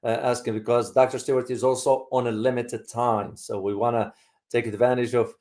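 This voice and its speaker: Male host, from Morocco, casual live discussion style, halting delivery with repeated words, middling pitch. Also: little pitch movement, darkish tone.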